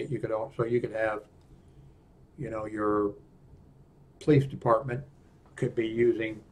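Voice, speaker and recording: Male presenter, 60s or older, American. Slightly low pitch, unscripted demo delivery, halting and meandering. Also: a little mellow. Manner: halting, rambling, often trailing off. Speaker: presenter of a radio equipment review. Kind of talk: unscripted product walkthrough. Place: small indoor room at a desk.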